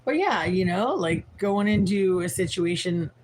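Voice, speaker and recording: A tired woman in her forties with a raspy voice, rambling frankly and swearing as she chats on a livestream. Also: on the low side, animated, measured pace, a little mellow, a little hiss or hum, poor audio. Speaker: livestream host. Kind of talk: casual livestream chat.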